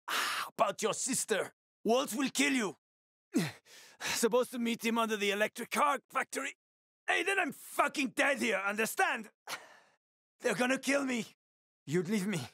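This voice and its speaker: A man's voice: very high voice, pushed and bright, dry recording, clean studio sound, full character voice. An actor playing a roguish, wisecracking male survivor in a video game, talking in a casual, teasing, sweary way. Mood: desperate, pained.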